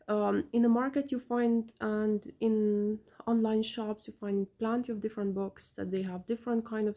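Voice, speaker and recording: Gravelly-voiced woman with an Albanian accent. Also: mid-range voice, warm tone. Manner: earnest, measured, non-native phrasing. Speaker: parent vlogger. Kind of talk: casual advice vlog.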